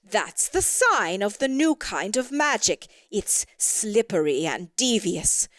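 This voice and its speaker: A woman's voice: medium pitch, big pitch swings, slow pace, a bit bright, no echo. A woman voicing an imperious, cold snow queen in a storybook tale, haughty and commanding. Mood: alarmed discovery. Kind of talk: storybook character voice in audio theatre.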